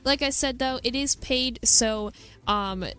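A woman's voice: high-pitched, slow pace, pushed and bright, clean tone. A woman hosting a gaming video, giving a chatty, enthusiastic, casual walkthrough commentary.

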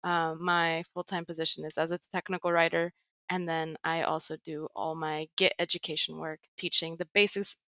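A young woman, Greek-born with a slight American accent, speaking as an interview guest over a video call headset, measured and pausing with frequent ums. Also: deep voice, level tone, pushed and bright, dry recording. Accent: American-leaning international. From Greece. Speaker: guest, tech writer and educator. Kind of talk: casual interview talk. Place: home room with plain wall.

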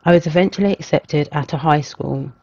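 A man reading a scripted documentary narration as a storyteller, in a measured, even way. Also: fairly high pitch, creaky, husky, dry recording, highs cut off. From England.

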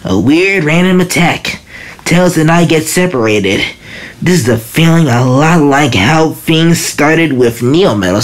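Woman slowly reading a comic aloud. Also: sits low. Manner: slow, halting reading with restarts. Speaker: fan comic dub reader.

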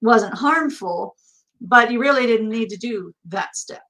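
A woman's voice: a little high, animated, unhurried, fairly bright tone. A middle-aged woman with an American accent, co-hosting a casual, conversational question-and-answer chat. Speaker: co-host. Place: small indoor office.

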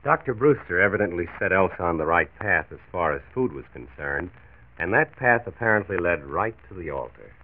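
Very deep genial radio narrator. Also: male voice, expressive, brisk, faint music behind. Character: genial county health commissioner narrating his story. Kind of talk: 1940s radio acting. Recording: tinny, noisy 1940s transcription disc.

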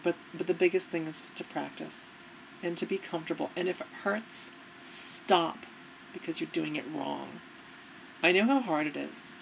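A young woman with a fairly deep voice giving earnest, relaxed advice to her webcam in a dim room. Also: moderate pace, creaky, slight background noise, poor audio. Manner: earnest, relaxed, demonstrating as she talks. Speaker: vlogger. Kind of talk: casual advice vlog.

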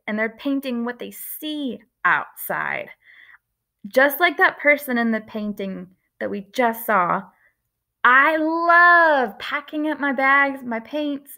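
A woman in her thirties, an art teacher giving a lesson to young children, speaking gently and expressively and slowing down on key words, recorded close to a webcam indoors. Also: high voice. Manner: gentle, expressive storytelling with slow emphatic words.

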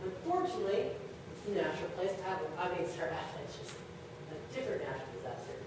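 A young man gives a relaxed lecture full of fillers and wry asides. He sounds distant from the mic in a very echoey hall, with steady background noise. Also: high-pitched, quick pace. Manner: loose, filler-heavy, wry and joking. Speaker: presenter giving a slideshow talk. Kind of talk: casual lecture.

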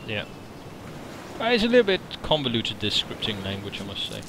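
A man from Denmark, Danish by birth, chatting casually and excitedly while building in a survival game with a friend, given to swearing and poking fun at himself. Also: on the low side, a little fast. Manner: excitable, swears and self-mocking. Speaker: modder playing with a friend. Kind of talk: casual gaming chat. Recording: noisy game audio behind voice.

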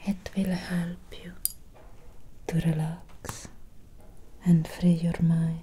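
A young woman playing a soothing, gentle Victorian vampire doctor in a breathy ASMR whisper. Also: fairly low pitch, little pitch movement, a little hiss or hum. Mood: soothing, hypnotic. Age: young adult. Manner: slow, soothing, lingering pauses. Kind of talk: ASMR roleplay. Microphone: close ASMR microphone.

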